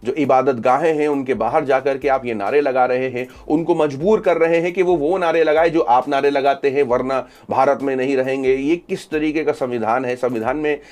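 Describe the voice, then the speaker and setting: A man's voice: medium pitch, moderate pace, few pauses, darkish tone. A man hosting social media news commentary, reporting events and reading out reactions.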